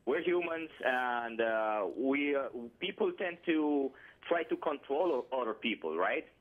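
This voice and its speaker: A man's voice: mid-range voice, expressive, unhurried. A man from Colombia with a Colombian Spanish accent, calling in and talking hesitantly with many fillers and restarts. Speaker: caller. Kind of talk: phone call-in conversation. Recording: tinny phone line.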